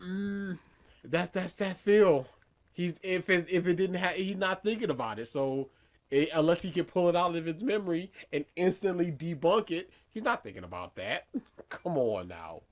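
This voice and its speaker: A man co-hosting a casual commentary podcast, talking sarcastically and slipping into quick mimicry of the person he is mocking. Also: high voice. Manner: animated, sarcastic, mimics others mid-sentence. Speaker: podcast co-host.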